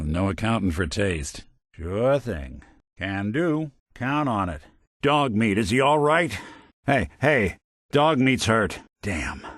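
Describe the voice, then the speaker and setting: An old synthetic male detective from a noir-flavored video game, American and world-weary. The voice sits on the low side but moves with lively, expressive swings. He wisecracks in a hard-boiled, sarcastic drawl, recorded very dry with no room around it. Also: no room sound. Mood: casual, wry, then alarmed.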